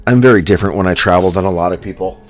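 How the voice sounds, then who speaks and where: A deep-voiced American man with a plain General American accent chats to his viewers at home. He rambles along loosely and fills his talk with ums and likes. Soft music plays faintly behind him. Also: racing. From United States. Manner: rambling, filler-heavy, with many ums and likes. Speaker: coin hunting streamer. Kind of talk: casual chat to a live audience.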